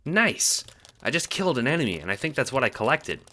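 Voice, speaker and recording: A man voicing an excitable, goofy, stubborn young boy in an audio drama, slangy and exclamatory. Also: medium pitch, a little fast, voice pushed up. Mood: goofy, casual.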